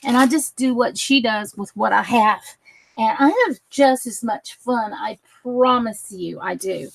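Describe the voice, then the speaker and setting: A woman's voice: fairly high pitch, measured pace, vocal fry, background noise. Giggly chatty woman craft host. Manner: chatty, giggly, greets viewers by name. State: croaky voice. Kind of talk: casual craft tutorial livestream.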